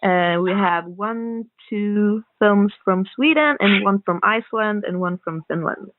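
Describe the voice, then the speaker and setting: Woman, casual podcast talk, hesitant phrasing, average pitch, narrow telephone-like sound. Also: a little slow. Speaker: guest co-host and filmmaker. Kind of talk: casual horror film chat. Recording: narrow phone-like call audio, dry.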